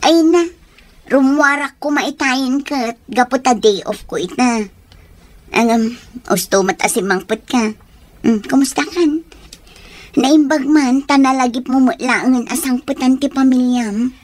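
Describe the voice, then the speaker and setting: High-pitched, exasperated concerned friend. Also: female voice, measured pace. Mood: friendly, surprised. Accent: Filipino. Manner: expressive, questioning, exclaiming. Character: concerned, exasperated woman friend hearing out troubles. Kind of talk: Filipino radio soap acting.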